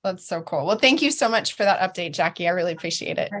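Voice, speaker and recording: A woman hosting an online support group, talking slowly and casually with many fillers. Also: fairly low pitch, expressive, fairly bright tone. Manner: slow, filler-heavy, friendly.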